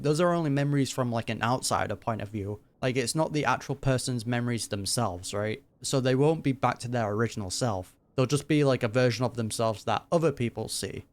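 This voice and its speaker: A streamer giving informal reaction commentary on a game, thinking out loud in a casual way. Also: medium pitch. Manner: informal, musing aloud. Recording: game music behind the voice.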